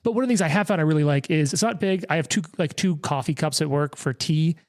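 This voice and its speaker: A man's voice: a little high, fast. Chatty game master, a man in his thirties. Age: 30s. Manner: rambling, self-deprecating, with quoted asides and restarts. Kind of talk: casual chat at the gaming table.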